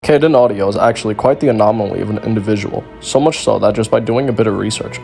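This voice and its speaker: A man's voice: on the low side, creaky, darkish tone. A man delivering scripted narration in the style of an exposé documentary. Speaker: video narrator.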